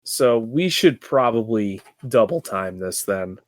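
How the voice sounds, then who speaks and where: Casual rpg player, middle pitch, wry n sweary, very dry close sound. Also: animated, slow, no room sound. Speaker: player in a tabletop game. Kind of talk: casual chat and in-game banter.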